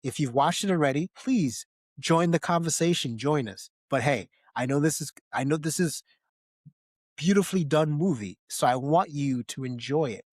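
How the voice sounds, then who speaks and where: A man's voice: a little high, normal speed, dry recording. Man hosting a podcast, close dry sound. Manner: emphatic, repeats phrases for effect. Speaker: podcast host. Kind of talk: casual film discussion podcast.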